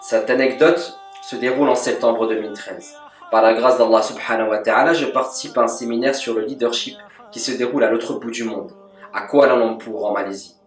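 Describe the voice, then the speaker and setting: A man giving a calm, measured religious lesson as a scripted voice-over, with Arabic devotional formulas woven into his speech. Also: medium pitch, soft background music. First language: French. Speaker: religious teacher. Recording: echoey, from a distance.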